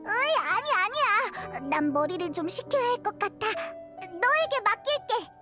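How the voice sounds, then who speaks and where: A woman voicing a small, childlike, excitable flying companion in a video game, with expressive, exclamatory delivery. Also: very high-pitched, a little slow, over music, exaggerated voice. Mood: flustered, puzzled.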